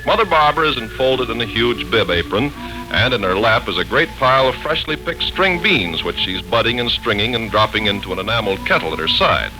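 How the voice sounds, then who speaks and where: An American man announcing and narrating from a script in polished, deliberate 1940s radio style. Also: mid-range voice, lively intonation, over music, low-quality recording.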